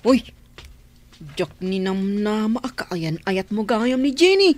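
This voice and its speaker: A woman's voice: mid-range voice, lively intonation, slow pace. A woman acting a nosy, outspoken young friend in Ilocano radio drama, probing and sceptical, with an Ilocano accent. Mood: nosy, teasing. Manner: probing, questioning.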